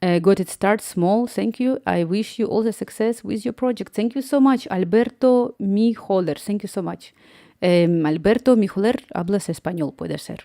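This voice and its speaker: A woman's voice: mid-range voice, moderate pace, vocal fry, few pauses, mellow, no echo, studio quality. A young woman from Ukraine with a Slavic accent, chatting casually on a live stream, laughing often and restarting words as she answers viewers.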